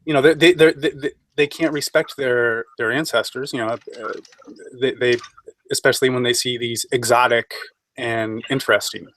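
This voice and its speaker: A man speaking haltingly and repeating phrases as a panelist in a casual political discussion on an online call. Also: medium pitch, fairly even intonation, a bit bright. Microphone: online group voice call.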